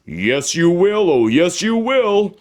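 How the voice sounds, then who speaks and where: Cheerful magic shoes from a storybook, voiced by a male narrator. They urge and encourage bossily in a raised, highly animated voice. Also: takes it slowly, exaggerated voice. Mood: encouraging. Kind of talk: storybook character voice.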